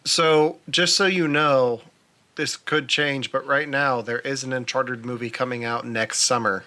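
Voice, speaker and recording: Bright-voiced man hosting a casual podcast. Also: mid-range voice, fairly even intonation, a little slow. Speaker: podcast host. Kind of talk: casual chat about movies and video games.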